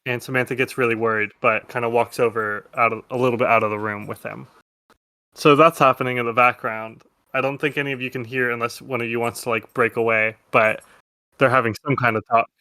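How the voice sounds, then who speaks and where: Bright-voiced man running a tabletop game. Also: medium pitch, fairly even intonation, brisk, no echo. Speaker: game master. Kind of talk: narrating a tabletop role-playing game.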